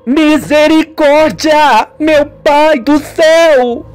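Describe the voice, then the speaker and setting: A woman's voice: sits high, extremely slow, darkish tone, faint music behind, voice pushed up. A woman voicing a terrified, tearful young woman in a radio soap opera, pleading and exclaiming melodramatically. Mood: horrified. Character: terrified, tearful young sister haunted by death.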